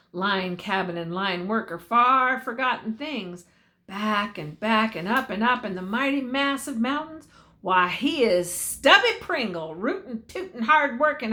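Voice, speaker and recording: A woman's voice: medium pitch, a bit bright. An older woman narrating a story aloud in a folksy, dramatic way, drawing out key words.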